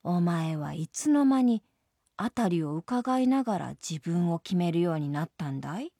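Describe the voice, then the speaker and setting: Woman as a brusque, husky scolding mother jellyfish. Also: fairly low pitch, expressive, normal speed, warm tone, no room sound, clean studio sound. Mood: stern scolding. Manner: blunt, chiding, folksy motherly speech.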